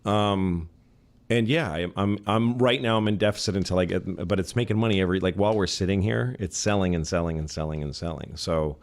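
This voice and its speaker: Rambling mid-forties man, lowish voice. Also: a little fast. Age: 40s. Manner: rambling, self-interrupting, with sports analogies. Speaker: comedian guest. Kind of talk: casual podcast conversation.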